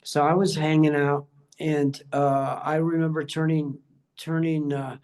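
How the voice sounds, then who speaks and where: A man's voice: on the high side, little pitch movement, a little slow, darkish tone, clean tone. An older man casually reminiscing in a group interview, earnest and stop-start, often repeating words as he goes. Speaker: musician being interviewed.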